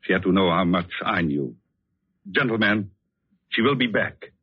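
An actor in a 1940s radio drama playing an intense, vengeful artist with a commanding, dramatic delivery. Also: male voice, low voice, expressive, moderate pace, slightly rough. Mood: grim resolve. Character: intense, vengeful French artist bent on killing. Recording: tinny old radio recording.

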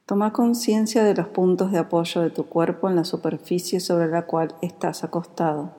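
A woman with a Rioplatense Spanish accent guides a meditation in a calm, soothing, unhurried manner. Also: on the low side. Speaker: yoga nidra meditation guide. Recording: long reverberant hall-like echo.

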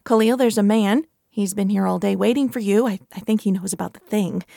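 A woman's voice: medium pitch, brisk, creaky, mellow, no room sound. An actress playing a reproachful, fretful mother in an audio drama, dramatic and guilt-tripping. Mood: worried. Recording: music behind the voice.

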